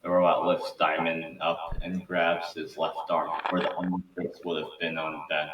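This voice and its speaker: A man's voice: deep voice, monotone, moderate pace, highs cut off. A man reading scripted narration as the narrator of an amateur table read. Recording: roomy, distant mic with faint noise.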